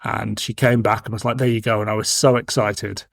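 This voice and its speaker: A man's voice: on the low side, flat delivery, fairly quick, creaky, dry recording. A man in his forties with a British accent, hosting a podcast in a casual, cheeky, frank chat. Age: 40s. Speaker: podcast host.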